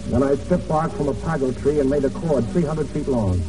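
An actor in an old radio drama playing a hunted American Navy man in hiding, weary but determined, speaking in a halting, earnest way. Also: mid-range voice, lightly acted. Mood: haunted, grim. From United States. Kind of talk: old radio drama acting, first-person narration and dialogue. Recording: old radio broadcast, distant, music behind.

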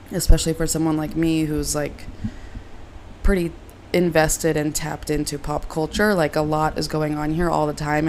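Young female podcast host, hoarse and raspy from a cold, gravelly and chatty, over faint background noise. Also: deep voice, darkish tone. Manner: rambling and self-deprecating. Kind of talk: casual solo podcast chat. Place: moved from Reno to LA, recording at home.

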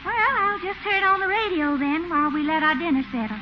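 A woman's voice: extremely high pitch, a little fast. A woman playing a coquettish, dreamy Southern belle with an American Southern accent in an old radio comedy. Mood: coaxing. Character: gushing, coquettish Southern belle, sweetly scheming. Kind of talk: 1940s-50s radio sitcom acting. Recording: noisy muffled vintage radio recording.

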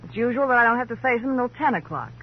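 An actress playing a flippant, jaded rich wife in an old radio crime drama, sardonic, brisk and dismissive. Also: female voice, fairly high pitch, lively intonation, lightly acted. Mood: impatient, dismissive. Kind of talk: 1940s-50s radio crime drama acting. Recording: dull old radio recording, faint noise.

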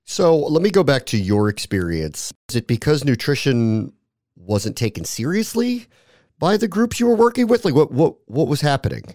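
A man's voice: a little high, big pitch swings, normal speed, darkish tone, dry recording, studio quality. A man hosting an interview, asking questions in a conversational, reflective way. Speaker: interview host.

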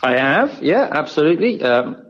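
A man from outside South Africa, speaking as a guest in a phone interview, measured and polished with frequent ums. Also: a little high, very animated, fairly bright tone, lo-fi sound. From not South Africa. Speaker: champagne brand guest.

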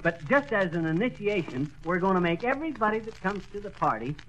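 A man acting the blustering husband in a 1940s radio sitcom, excitable and quick to shout. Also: sits high, slight background noise, low-quality recording. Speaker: comic lead of a radio sitcom.

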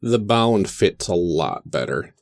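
Male podcast host, fairly low voice, informal conversation, close dry recording. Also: lively intonation, slow, creaky, no room sound. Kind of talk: casual chat.

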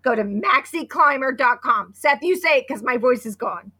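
A woman in her fifties co-hosting a podcast, chatty and excitable, hoarse from losing her voice. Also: high-pitched, normal speed. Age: 50s. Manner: chatty and excitable, with many restarts. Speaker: podcast co-host. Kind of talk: casual podcast chat with sponsor read.

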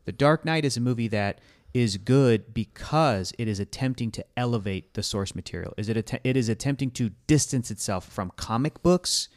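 Male podcast host, 30s, mid-pitched, animated, argumentative, keeps steering the chat. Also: moderate pace. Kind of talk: casual chat.

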